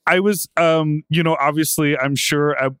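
Chatty man with a higher voice. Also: steady tone, moderate pace, crowd noise. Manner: chatty, filler-heavy, candid. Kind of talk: casual conversation.

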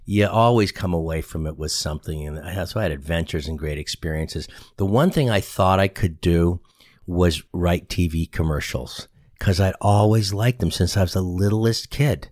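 A man's voice: low-pitched, a little mellow, no echo, clean studio sound. A man in his sixties from the Boston area, a guest talking about his branding career in a loose, self-deprecating way, full of ums and restarts. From Boston area, USA. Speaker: guest, brand specialist and author. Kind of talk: casual conversational interview. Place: studio in San Antonio.

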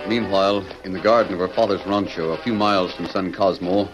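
Low-voiced radio western narrator played by a man. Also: steady tone, brisk, lo-fi sound, over loud music, close to an everyday voice. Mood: dramatic. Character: storytelling radio western announcer, dramatic and measured. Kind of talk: old-time radio drama narration.

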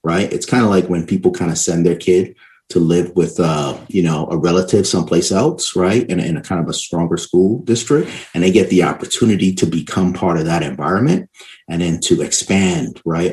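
A man's voice: low voice, a little fast, a little mellow. A man explaining his points in an interview, emphatic and often checking in with short tag questions. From Barbados and New York City. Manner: emphatic explainer, frequently tags points with "right?". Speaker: interview guest, education and economics expert.

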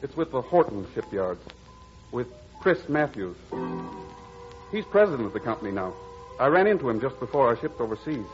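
An actor playing an earnest young stepson back from the navy, in 1940s radio acting style. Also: male voice, mid-range voice, sing-song, moderate pace, pauses often, lightly acted. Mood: casual, earnest. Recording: dull old radio recording, faint music.

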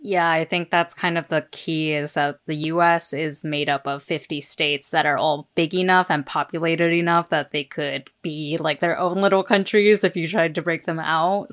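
A woman with a notably low voice co-hosts a casual podcast conversation. She talks things through easily, often softening her points with "I guess". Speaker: podcast co-host. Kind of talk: casual interview chat.

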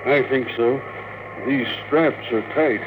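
Elderly, wistful space passenger played by a man, labored slow delivery, stubborn, vintage radio drama, thin noisy recording. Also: medium pitch, phone-quality sound, close to an everyday voice. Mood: strained, stoic. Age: older. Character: frail, stubborn old man, moon-struck space passenger. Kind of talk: classic American radio drama acting.

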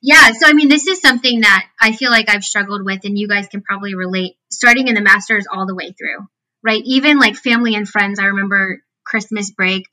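Animated woman with a bright, squeaky voice. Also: poor audio. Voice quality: squeaky, nasal. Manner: animated, talks with many fillers and acted-out quotes. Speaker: guest, fitness scientist. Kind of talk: casual interview chat.

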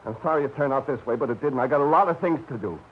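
A man's voice: mid-range voice, racing, slight background noise, natural voice. An actor playing a hot-tempered young man holding a gun, tense and threatening, in 1940s radio drama style. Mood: desperate. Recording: muffled vintage radio broadcast.

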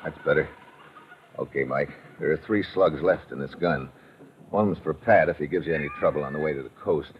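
An actor playing a cool, wisecracking tough secret agent in a 1950s radio adventure, with clipped, slangy, sardonic delivery. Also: male voice, rumbling low, a little fast. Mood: hard, commanding. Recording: dull, noisy old radio recording.

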